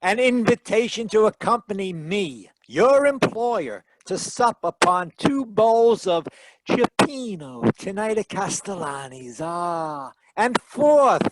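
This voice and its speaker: A man playing a wry, breezy, smooth-talking private detective in 1940s radio style, with theatrical flowery phrasing. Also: high voice, sing-song, slow pace, heavy background noise, exaggerated voice. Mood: playful.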